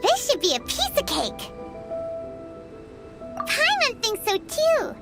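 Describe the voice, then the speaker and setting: A woman voicing a small childlike fairy companion in a video game, squeaky and eager but easily worried. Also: wildly swooping pitch, slow, exaggerated voice. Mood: chirpy. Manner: speaks of self in third person, exclamatory. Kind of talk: video game character acting. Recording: loud background music.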